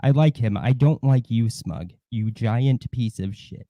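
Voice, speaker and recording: A man co-hosting a livestream with casual commentary and gossip, rambling and halting, often swearing. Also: mid-range voice, mellow, no echo, lo-fi sound. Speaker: co-host of a livestream.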